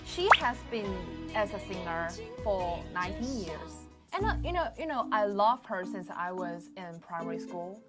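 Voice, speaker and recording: A woman's voice: medium pitch, very animated, slow pace, music in the background. A woman from China hosting a casual chat and quizzing her friends with a laugh. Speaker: host.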